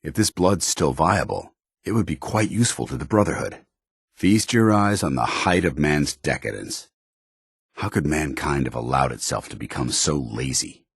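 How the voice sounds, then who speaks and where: An actor playing a stern, principled military paladin in a video game, speaking in a formal, earnest, commanding soldierly way. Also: male voice, extremely low pitch, lively intonation, moderate pace, vocal fry, husky, no echo, studio quality, exaggerated voice. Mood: disgusted, stern. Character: stern, principled male military paladin, loyal to his order. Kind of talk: video game companion dialogue.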